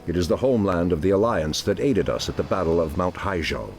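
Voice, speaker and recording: An actor playing a solemn, noble night elf druid leader in a fantasy video game, grave, formal and full of authority. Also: male voice, deep voice, lively intonation, a little fast, soft background music. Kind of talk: dramatic video game cutscene acting.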